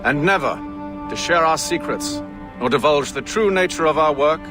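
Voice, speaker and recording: An actor playing a formal, solemn and authoritative Templar leader in a dramatic video game. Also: male voice, fairly high pitch, lively intonation, moderate pace, music in the background, lightly acted. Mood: solemn, ceremonial. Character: grave, commanding Templar leader, adult man.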